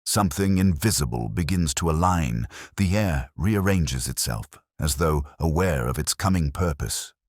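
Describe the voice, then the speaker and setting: A man plays a brooding, literary police detective with an extremely deep voice. He narrates a radio mystery in the first person, measured and solemn with a wry edge. His pitch rises and falls widely, and the sound is dry, with no room around it. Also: expressive, dry recording. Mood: brooding, reflective.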